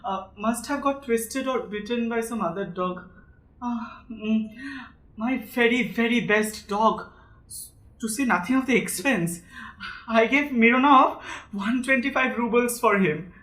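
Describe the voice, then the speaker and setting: A woman's voice: fairly high pitch, unhurried, fairly bright tone, low-quality recording. A woman with an Indian accent playing a nervous, proud, hypochondriac male suitor who stammers and repeats words in an amateur audio drama. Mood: hesitant, rueful. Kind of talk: amateur student stage-play audio drama.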